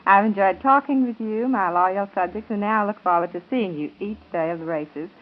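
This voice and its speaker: Female American radio guest, 1930s, poised and formal, mid-pitched, tinny narrow-band recording. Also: fairly quick, a little hiss or hum. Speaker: pageant queen being interviewed. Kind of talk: formal, prepared radio interview answers.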